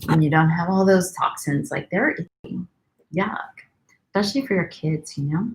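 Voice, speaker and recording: Low, gravelly-voiced woman chatting on a livestream. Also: moderate pace, rough recording. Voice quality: calming voice. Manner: soft, rambling, with many ums. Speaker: wellness vlogger. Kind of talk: casual livestream chat.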